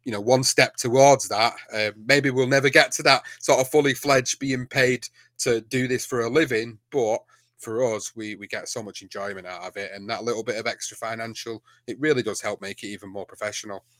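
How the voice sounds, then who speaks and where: A man's voice: mid-range voice, steady tone, a little fast, fairly bright tone, dry recording. A man with a Manchester accent, a podcast co-host, talking casually in an interview with lots of fillers and restarts. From England. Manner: rambling, many fillers and restarts.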